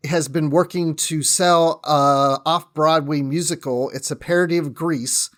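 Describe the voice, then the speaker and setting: A man's voice: a little high, steady tone, a little slow, fairly bright tone. A talkative man chatting casually as a podcast guest, often breaking off and restarting his own sentences. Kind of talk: casual chat.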